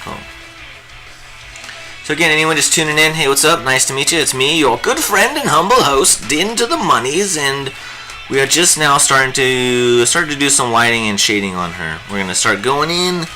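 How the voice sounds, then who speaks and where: A man's voice: mid-range voice, lively intonation, moderate pace, pushed and bright, faint music behind. A young man hosting a live painting stream, chatting in a rambling, jokey way while he works. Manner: rambling and jokey, lots of fillers. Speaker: livestream host teaching digital painting.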